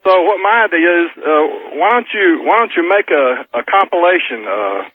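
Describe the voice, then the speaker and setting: A man's voice: fairly high pitch, expressive, normal speed, dry recording. A man with a Southern US accent calling in to a radio show, chatting politely and a little tentatively. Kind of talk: casual call-in chat. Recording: tinny telephone line.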